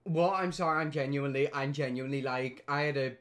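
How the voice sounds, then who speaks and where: A man's voice: medium pitch, fast talker, a bit bright. A young man with a Northern Irish accent, chatting casually as he reacts to clips and teases himself. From Northern Ireland. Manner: chatty, self-mocking, exclaims in disbelief. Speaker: reaction video host.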